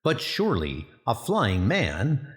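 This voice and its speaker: Noble comic book hero, played by a man: dutiful, exclamatory, mid-range voice with lively swings. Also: medium pitch, slow pace. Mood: bewildered. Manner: earnest, exclamatory. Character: noble, earnest male superhero, exclaiming and dutiful. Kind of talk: comic book dub acting.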